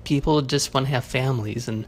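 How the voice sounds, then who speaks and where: A man leaving a casual, earnest listener message in a halting, hesitant way. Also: medium pitch, slight background noise. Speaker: listener calling in with feedback. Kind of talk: casual spoken voicemail.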